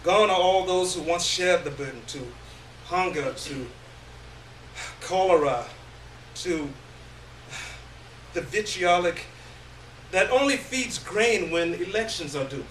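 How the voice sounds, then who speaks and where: Guy doing a dramatic story reading onstage, really slow n halting, high voice, mic kinda far off. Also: lively intonation, fairly bright tone. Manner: theatrical, halting, with long pauses. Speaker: reader of a short story. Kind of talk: dramatic first-person literary reading on stage. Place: live indoor stage reading. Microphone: distant microphone. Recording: roomy, distant stage recording, faint noise.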